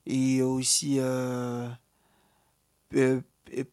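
A man's voice: medium pitch, monotone, drawn-out words, darkish tone, clear, resonant tone. Hesitant man with a Cameroonian accent. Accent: Cameroonian French. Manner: hesitant, many fillers and restarts. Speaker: interviewee, asylum seeker. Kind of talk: personal testimony in an interview.

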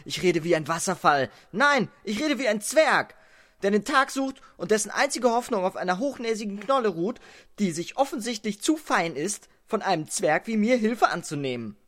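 A woman's voice: medium pitch, very animated, normal speed, a bit bright. A woman playing an eager, curious little male dwarf in a children's radio play, gushing and wide-eyed. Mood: indignant. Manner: gushing, wide-eyed, indignant when slighted.

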